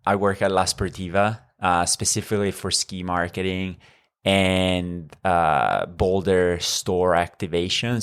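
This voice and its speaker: A man in his thirties from Northern Italy, speaking English with an Italian accent as a podcast guest, measured and full of fillers. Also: deep voice, fairly bright tone, smooth. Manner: measured, with frequent fillers and restarts. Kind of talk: conversational interview.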